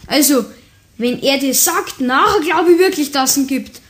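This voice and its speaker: Young Bavarian lad voiced by a woman, high pitched, skeptical yet polite and a bit shy, radio drama. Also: normal speed, close to an everyday voice. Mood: doubtful. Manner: polite, doubting dialect talk. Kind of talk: radio play acting.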